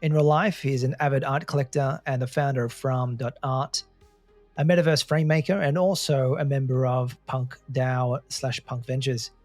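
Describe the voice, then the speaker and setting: A man's voice: mid-range voice, steady tone, normal speed, darkish tone, dry recording, soft background music. A man hosting a podcast, talking in an informal, matey, conversational way. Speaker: podcast host. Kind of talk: upbeat intro and casual interview.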